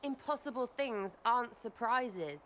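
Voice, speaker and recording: A woman's voice: on the high side, lively intonation, a little slow, a bit bright, a little hiss or hum. A woman playing an irreverent young rogue in a video game, with an English accent and a choppy, sarcastic, slangy way of talking. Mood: incredulous, rattled. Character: brash, irreverent young woman rogue, rattled but flippant. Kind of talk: video game cutscene acting.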